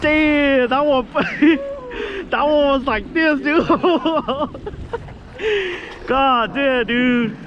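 High-voiced man, casual outdoor vlogger. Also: sing-song, very slow, dull audio. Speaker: fishing vlogger. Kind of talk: casual vlog chat. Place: outdoors on a windy rocky lakeshore. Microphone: handheld camera at arm's length. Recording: windy outdoor camera audio, faint noise.

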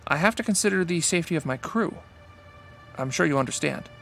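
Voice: mid-range voice, expressive, brisk, vocal fry, fairly bright tone, soft background music. An actor playing an earnest, awestruck captain of an amateur sci-fi fan crew in an audio drama. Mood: solemn, resolved.

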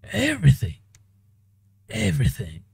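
A man performing the same dramatic monologue several times over, in a slow, theatrical delivery with long pauses. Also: fairly high pitch, huge pitch swings, vocal fry, darkish tone, breathy edge, no echo. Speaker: animator and voice actor. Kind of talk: dramatic monologue in five voice takes.

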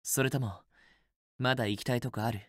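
A man's voice: medium pitch, steady tone, a little fast, no room sound, studio quality, close to an everyday voice. A man playing a possessive, teasing young student boyfriend in a romance game, with an intimate, assertive, coaxing delivery. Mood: caring, casual. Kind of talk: Japanese otome game voice acting, intimate romantic dialogue.

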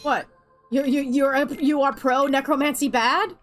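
Sarcastic high-voiced woman gaming streamer. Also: fairly even intonation, moderate pace, faint music behind. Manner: sarcastic, swears at enemies, talks to the game. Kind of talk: ranting let's-play commentary.

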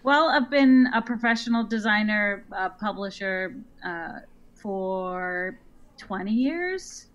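A woman's voice: medium pitch, expressive, slow, highs cut off. Chatty icelandic-born lady guest, restarts sentences a lot, laughs easy. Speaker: guest quilt designer. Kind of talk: casual interview chat.

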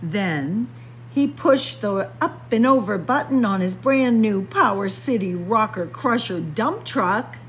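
Slow, very animated woman reading to children. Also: mid-range voice, a little hiss or hum. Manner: playful, expressive. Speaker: children's librarian, story time reader. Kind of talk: picture book read-aloud for young children. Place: indoors, library with bookshelves.

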